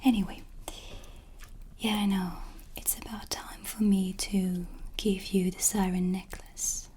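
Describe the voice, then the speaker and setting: Woman playing a slow, whispering kindly witch. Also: medium pitch, level tone, slight background noise, lightly acted. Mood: resigned. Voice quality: breathy, whispery soft voice. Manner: gentle, unhurried, confiding. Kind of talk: ASMR cinematic roleplay. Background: ambient music.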